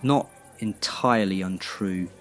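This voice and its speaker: A man in his twenties playing a polite, well-off young man with a measured, proper way of talking, over soft music. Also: deep voice. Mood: dry. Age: 20s. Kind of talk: audio drama acting.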